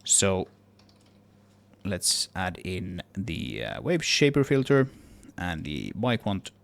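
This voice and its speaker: A man giving a casual software tutorial, thinking aloud, correcting himself and trailing off as he works. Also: deep voice, wildly swooping pitch, slow, vocal fry. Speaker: tutorial presenter, game audio developer.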